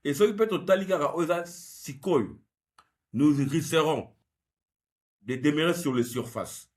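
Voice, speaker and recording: A man, explaining history in a measured, analytical interview answer. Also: on the high side, a little mellow. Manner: measured and explanatory, mixing French with Lingala. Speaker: guest researcher.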